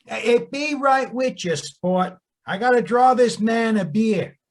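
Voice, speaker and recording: An actor playing a world-weary, excitable bartender in a noir audio drama, grumbling in a folksy way. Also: male voice, extremely high pitch, takes it slowly, exaggerated voice.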